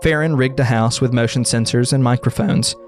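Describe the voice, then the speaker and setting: A man's voice: medium pitch, brisk. Man narrating scripted horror explainer over music.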